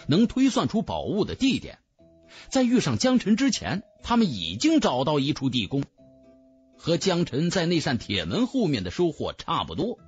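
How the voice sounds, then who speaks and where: A man's voice: a little high, slightly rough. A man narrating a fantasy audiobook in a dramatic, scripted storytelling style.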